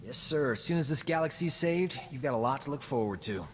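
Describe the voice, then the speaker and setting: An actor playing a boastful, smooth-talking combat medic in a video game, joking and flattering himself. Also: male voice, medium pitch, a little fast, long reverb tail, a little hiss or hum, close to an everyday voice. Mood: cocky. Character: cocky boastful man, a frontier combat medic. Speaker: companion character in a video game. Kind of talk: video game character dialogue.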